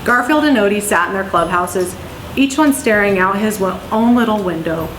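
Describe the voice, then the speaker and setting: A woman reading children's stories aloud in an expressive storytelling way. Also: medium pitch, normal speed. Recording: roomy echo, faint background noise.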